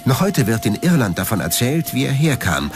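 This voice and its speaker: Guy narrating a documentary, lively intonation, music under it. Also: medium pitch, normal speed. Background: background music.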